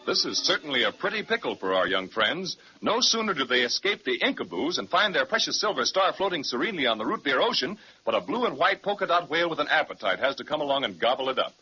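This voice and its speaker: Folksy male narrator, 1937 kids' radio serial; animated, muffled transcription sound. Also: on the high side, fast, hardly any pauses, slight background noise. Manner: folksy, storyteller addressing children.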